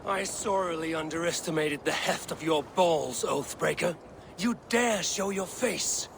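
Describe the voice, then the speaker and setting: Wrathful game god, solemn archaic delivery, slightly high male pitch, noisy ambient background. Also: a little slow. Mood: accusing. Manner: solemn, archaic, threatening speech. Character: brooding, wrathful male Norse god, proud protector of his people. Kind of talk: video game dramatic acting. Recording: clear background ambient noise.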